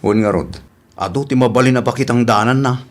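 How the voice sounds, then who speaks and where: A man's voice: mid-range voice, fairly quick, lightly acted. Man acting an easygoing, grumbling old village father. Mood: lazy grumbling. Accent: Ilocano Filipino. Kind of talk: Ilocano comedy radio drama acting.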